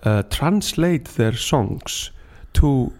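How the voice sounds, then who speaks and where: Man, Icelandic accent, medium-pitched voice, casual podcast guest, halting phrasing, searches for words. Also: expressive, a little mellow. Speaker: singer-songwriter and storyteller, podcast guest.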